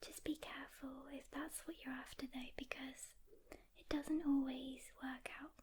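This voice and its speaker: A woman playing a calm, weary demon hunter mentoring a newcomer in a gentle, hesitant whisper, as soft-spoken ASMR roleplay. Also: sits high, moderate pace, husky. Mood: sympathetic, cautioning.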